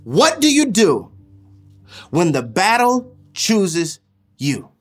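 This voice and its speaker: A preacher delivering an impassioned sermon with deliberate repetition, swinging between loud exhortation and hushed, weighty phrases. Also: medium pitch, wildly swooping pitch, soft background music.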